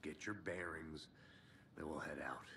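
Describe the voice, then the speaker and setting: Gruff low-voiced FBI agent. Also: male voice, measured pace, pushed and bright, slight background noise, heavily acted. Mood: dry, steady. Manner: dry, terse, sardonic. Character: gruff, no-nonsense male FBI special agent. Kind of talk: video game drama acting.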